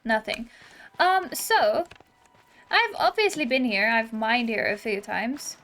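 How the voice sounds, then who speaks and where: High-voiced woman chatting through a game. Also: animated, takes it slowly, fairly bright tone. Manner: chatty, playful, exclaiming. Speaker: gaming video host. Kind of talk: casual let's-play commentary, reading game dialogue aloud.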